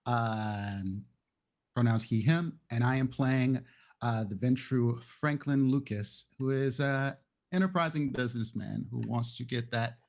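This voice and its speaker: A man's voice: medium pitch, a little slow, creaky. A man speaking casually as a player in a roleplay game. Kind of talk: casual chat.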